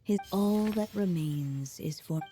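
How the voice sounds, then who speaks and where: A woman voicing a gentle, motherly storyteller witch at the end of her life, speaking tenderly and wistfully in a video game. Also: mid-range voice, soft background music, natural voice. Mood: tender farewell. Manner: slow, tender, wistful delivery. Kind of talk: scripted video game voice acting.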